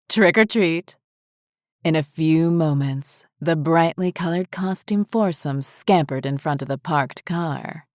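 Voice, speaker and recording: A woman narrating a scripted horror story in a measured, deliberate way. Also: deep voice, a little mellow, no echo, studio quality.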